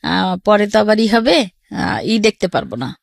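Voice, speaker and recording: A woman's voice: mid-range voice, animated, moderate pace, gravelly, no echo, studio quality. A middle-aged woman with a Bangladeshi accent tells her life story casually and conversationally, often quoting family dialogue.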